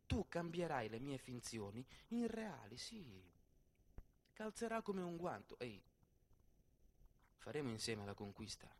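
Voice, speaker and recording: A man playing a proud, witty, wounded poet swordsman on stage, theatrical, deliberate and intense. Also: medium pitch, very animated. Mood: eager, conspiratorial.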